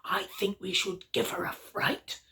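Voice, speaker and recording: A woman voicing Daddy Bear, a grumpy father bear, in a slow grumbling way. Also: medium pitch, steady tone, creaky, pushed and bright. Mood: cross.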